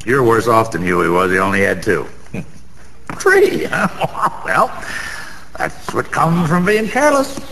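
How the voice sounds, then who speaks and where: A middle-aged Broadway gambler in a radio play, slow and gravelly, in a very reverberant space. He brags and wisecracks in boozy, rambling slang, like a chummy know-it-all. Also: medium pitch, sing-song, sharp tone, exaggerated voice. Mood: needling. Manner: slangy, chuckling, rambling, streetwise. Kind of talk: 1990s radio drama acting. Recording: reverberant hall, faint background noise.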